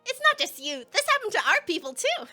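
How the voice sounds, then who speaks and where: A perky young village girl for a cartoon fan dub, acted by a woman, with a very high, bright voice. She is bubbly and slangy, swinging freely in pitch with playful, feisty eagerness. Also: big pitch swings, a little fast, soft background music, full character voice. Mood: eager, feisty. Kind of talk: animated fan dub acting.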